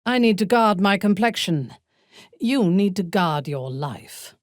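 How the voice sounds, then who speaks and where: A woman playing a haughty, menacing dark elf warrior commander in a video game, with a husky voice and a cold, imperious, deliberate delivery. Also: mid-range voice, lively intonation, no room sound, clean studio sound, natural voice. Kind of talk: dramatic video game voice acting.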